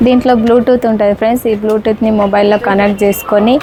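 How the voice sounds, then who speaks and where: A woman's voice: mid-range voice, steady tone, fairly quick, background noise. A woman with a Telangana Telugu accent giving a casual hands-on product demonstration, explaining step by step and often checking in with viewers. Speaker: product reviewer.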